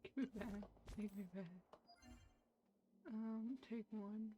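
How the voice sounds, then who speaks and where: A woman's voice: on the high side, steady tone, slow. A young woman streaming a game, chatting casually in a rambling, distracted way. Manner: rambling and distracted, many fillers. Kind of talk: casual gameplay commentary. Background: game music.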